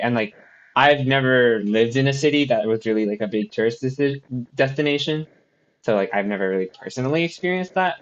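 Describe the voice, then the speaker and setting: Rambling young man, slightly muffled. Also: medium pitch. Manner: rambling, many fillers and restarts. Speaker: podcast co-host. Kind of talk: casual podcast chat. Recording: slightly dull lo-fi sound.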